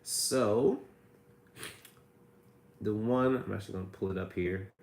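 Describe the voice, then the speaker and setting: Raspy-voiced man hosting a credit Q&A stream. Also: lowish voice, a little slow, a little mellow, echoey room. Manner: reads viewer comments aloud, chatty and loose. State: hoarse, recovering from a cold. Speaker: credit repair host answering viewer questions. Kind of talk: casual livestream Q&A.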